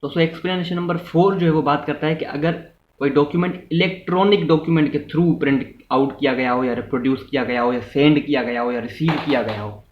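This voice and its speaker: A man's voice: a little high, fast, hardly any pauses. A man with a Pakistani accent giving an explanatory law lecture, mixing in English terms and restating each point in a didactic way. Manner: didactic, repeats and rephrases points, checks in with listeners. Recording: muffled, roomy echo, background noise.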